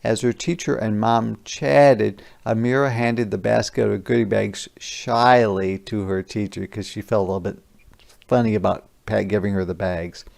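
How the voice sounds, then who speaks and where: A man teacher reading a children's picture book aloud, adding simple, deliberate explanations for young listeners. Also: lowish voice, fairly even intonation, gravelly, a little mellow. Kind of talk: interactive children's read-aloud with explanatory asides.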